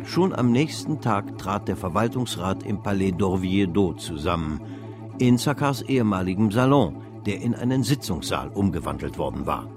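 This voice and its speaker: A man's voice: low voice, expressive, moderate pace, over music. A man narrating a radio drama in a literary storytelling style.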